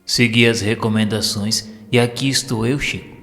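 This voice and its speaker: A man's voice: medium pitch, fairly even intonation, moderate pace, gravelly, a bit bright. A Brazilian man voicing a truck driver who earnestly and expressively recounts an encounter in an audio story. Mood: earnest recounting. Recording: long reverb, music behind.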